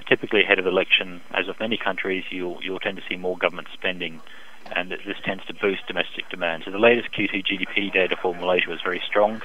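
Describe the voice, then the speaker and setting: Low-pitched man on a dull phone line. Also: pushed and bright. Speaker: economist and currency strategist interviewed as expert. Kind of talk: phone interview, analytical market commentary. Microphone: telephone.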